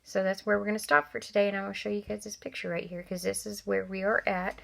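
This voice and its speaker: A woman, a teacher, reading a novel aloud to children, expressive and stopping for asides to her listeners. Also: mid-range voice, fast, gravelly.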